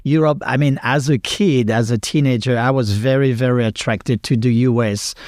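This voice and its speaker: A man's voice: mid-range voice, steady tone, normal speed, darkish tone, clean studio sound. A man in his fifties from the south of France, hosting a podcast interview and often echoing his guest's words. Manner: eager, agreeing, repeats the guest's words. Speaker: podcast host. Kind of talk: casual interview chat.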